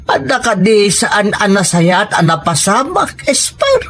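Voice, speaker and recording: A man's voice: high-pitched, big pitch swings, a little slow, a bit bright, exaggerated voice. A man with a Filipino accent voicing a tender, anxious elderly grandparent in an audio drama. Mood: alarmed, concerned. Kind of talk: scripted audio drama acting.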